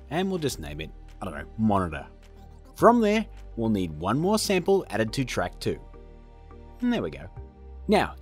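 A man, hosting a casual tutorial in a chatty, self-deprecating way. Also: medium pitch. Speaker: host of tutorial videos. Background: background music.

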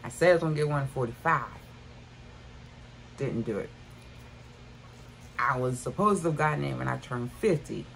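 A woman's voice: rumbling low, moderate pace, vocal fry, long pauses, slight background noise. A woman in her fifties chatting casually to viewers from her bedroom about items she bought, calling them y'all. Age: 50s. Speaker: haul vlogger. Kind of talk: casual haul chat. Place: bedroom at home.

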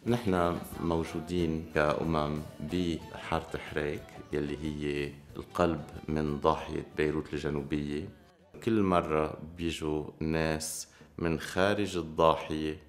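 A middle-aged man with a Lebanese accent speaks in an interview. His voice is extremely low and even, delivered at a very rapid pace. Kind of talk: interview explanation. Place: room lined with bookshelves.

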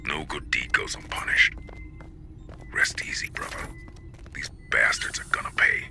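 A hardened corporate security captain in a game cutscene. His voice is extremely deep and gravelly, with a cynical, sardonic, unruffled delivery. Faint music plays behind him. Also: unhurried, whispered, heavily acted. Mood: grim, bitter. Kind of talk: cinematic game acting.

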